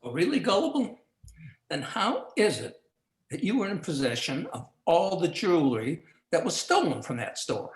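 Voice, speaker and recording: Man playing a smug, animated noir cop in a roomy space. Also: fairly high pitch, moderate pace. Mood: smug, taunting. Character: smug wisecracking male 1940s police detective. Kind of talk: campy 1940s radio noir acting. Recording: roomy live stage sound.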